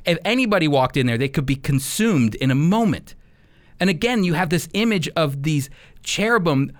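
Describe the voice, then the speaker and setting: A man giving sermon-like Bible teaching, explaining earnestly and emphatically and repeating key phrases. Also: a little high. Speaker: Bible teacher and program host.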